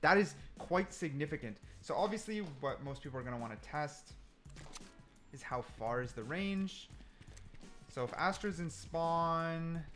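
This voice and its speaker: Male gaming host, lively swooping delivery, slightly high voice, casual commentary that repeats for emphasis, light music underneath. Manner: animated, repeats phrases for emphasis.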